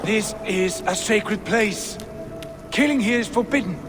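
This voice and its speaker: High-pitched, solemn Norse war god. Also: male voice, unhurried, soft background music. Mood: urgent, admonishing. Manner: solemn, admonishing. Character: steadfast, principled male Norse war god. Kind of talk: video game cutscene acting.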